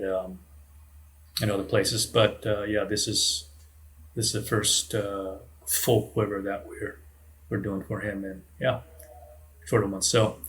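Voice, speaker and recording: A man's voice: lowish voice, fairly bright tone. A man, talking in a rambling, self-deprecating, conversational way as an interview guest. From Hawaii. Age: 60s. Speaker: surfboard shaper, podcast guest.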